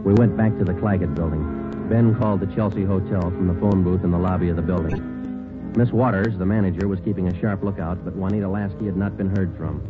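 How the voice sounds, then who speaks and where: Casting: male actor for a businesslike radio detective sergeant, low-voiced and clipped, narrating flatly over a tinny old broadcast. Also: fast, lightly acted. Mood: deadpan, businesslike. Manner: clipped, deadpan, matter-of-fact. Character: terse, businesslike male police sergeant, narrating his case. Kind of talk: 1950s radio crime drama acting. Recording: tinny, band-limited old radio recording with music.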